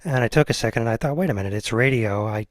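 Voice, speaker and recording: A man's voice: medium pitch, rapid-fire, no room sound, studio quality. A man hosting a casual interview in a hesitant, rambling, self-deprecating way. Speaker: interviewer, show host.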